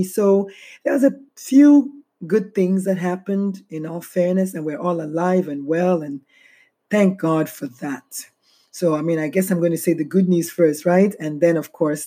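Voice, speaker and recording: A middle-aged woman hosting a solo podcast, speaking slowly and heavily with a low, barely audible voice. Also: dark tone. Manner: slow, heavy-hearted and reflective. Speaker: podcast host.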